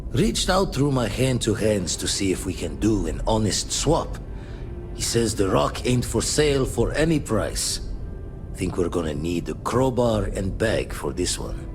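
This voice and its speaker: Slangy spacefaring ex-pirate, lively pitch. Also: male voice, measured pace, over music. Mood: conspiratorial. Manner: clipped, slangy, folksy phrasing. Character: gruff street-smart spacefaring ex-pirate station hand. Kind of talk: video game dialogue.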